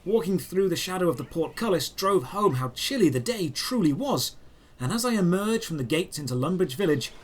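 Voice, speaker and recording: A man narrating a scripted first-person fantasy story in a measured, descriptive storytelling manner. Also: high voice, lively intonation.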